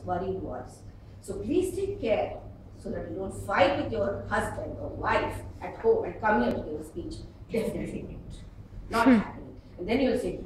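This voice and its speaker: Animated Indian woman speaking in an echoey room. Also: a little high. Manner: expressive, emphatic, with acted-out examples. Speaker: speaker at a public speaking club. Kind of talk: instructional speech to a live audience. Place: indoor meeting room before an audience. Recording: distant, very echoey room with faint noise.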